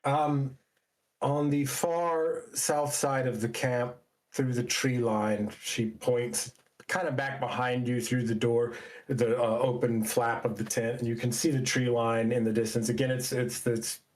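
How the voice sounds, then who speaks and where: A man runs a tabletop role-playing game as game master. His pitch is average and his pace is slow, with halting, deliberate narration that feels improvised. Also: steady tone.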